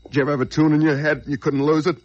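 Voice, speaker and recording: An actor playing a desperate, whining small-time crook on the run in an old radio crime drama, stammering, pleading and intense. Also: male voice, fairly high pitch, level tone, very fast, a little mellow, dull audio, lightly acted. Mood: bitter, brooding. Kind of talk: old radio crime drama, dialogue and first-person narration. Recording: noisy vintage radio drama recording.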